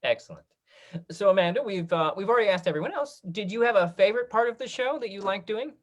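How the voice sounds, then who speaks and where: A woman's voice: mid-range voice, animated, fast talker, muffled recording. A woman hosting a video call interview, prompting guests with encouraging questions. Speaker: host, moderator.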